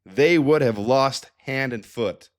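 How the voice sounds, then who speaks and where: A man's voice: mid-range voice, slow pace. A man hosting a sports analysis show, talking casually through stats with a slightly nasal, stuffed-up sound from a head cold. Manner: energetic, chatty, with frequent restarts and asides. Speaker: sports channel host.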